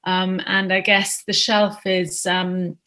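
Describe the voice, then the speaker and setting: A woman's voice: on the low side, flat delivery, slow pace, sharp tone. A woman in her thirties from Zimbabwe, an author answering interview questions in a chatty way with many ums.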